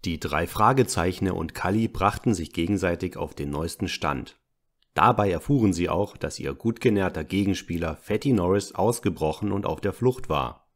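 A man's voice: deep voice, moderate pace. A man narrating a parody detective audio drama in a scripted, sober style. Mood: measured.

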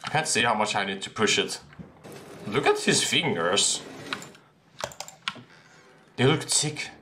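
A man's voice: on the low side, slow, a little hiss or hum. A young man casually commenting on a horror game he is playing, into a headset mic in a small room, often yelping at surprises. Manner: excitable, yelps and laughs at surprises. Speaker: gameplay streamer.

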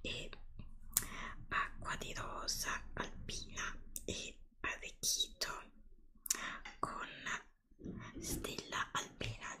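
A woman speaks in a hushed, breathy whisper, very close to the mic. She takes her time, leaving pauses between short phrases and repeating words as she shows products in an ASMR style. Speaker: ASMR video creator. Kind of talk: ASMR product show-and-tell. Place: indoors, close-up before a green screen. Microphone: close ASMR microphone.

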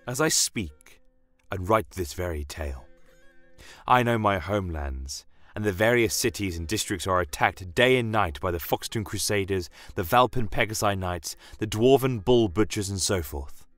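A man narrating a fantasy story in the first person, in a measured, solemn and dramatic way. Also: fairly low pitch, lively intonation, fairly bright tone, no echo, soft background music.

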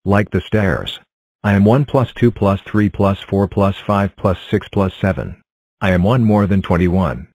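Low, plain male cartoon narrator, dry read. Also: unhurried, darkish tone, no echo, poor audio. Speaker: narrator voicing number characters. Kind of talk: fan cartoon voice-over.

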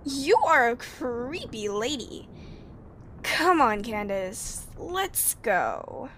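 A woman's voice: on the high side, big pitch swings, extremely slow, a bit bright, a little hiss or hum, exaggerated voice. A woman playing a scornful, vengeful young girl in a radio horror drama.

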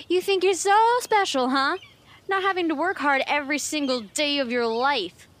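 A woman's voice: high voice, normal speed, fairly bright tone. A woman playing a combative, scrappy young fighter in an audio drama, brash and taunting. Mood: angry, taunting.

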